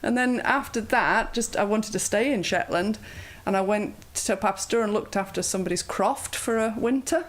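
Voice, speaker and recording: A woman in her fifties with a soft Yorkshire accent, chatting as an interview guest, with plenty of ums and uhs. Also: mid-range voice, normal speed, few pauses. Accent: mild Yorkshire. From Yorkshire, England. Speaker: guest, yoga teacher. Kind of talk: casual interview chat.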